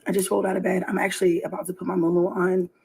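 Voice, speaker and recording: A woman just out of bed, talking softly and half whispering in a morning vlog, her voice hoarse. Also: on the low side, little pitch movement, rapid-fire. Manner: soft and sleepy, partly whispered.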